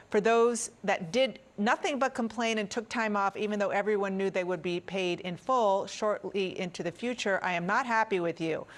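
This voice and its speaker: Female news host, medium pitch, on-air reading, informal asides. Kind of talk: reading a statement aloud on air.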